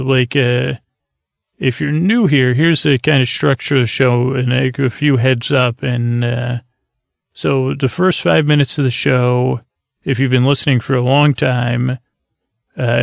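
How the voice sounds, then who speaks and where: Creaky, meandering man hosting a bedtime podcast. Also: medium pitch, no room sound, studio quality. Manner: meandering, long-winded, halting; gentle, friendly, lulling. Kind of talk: rambling soothing bedtime monologue.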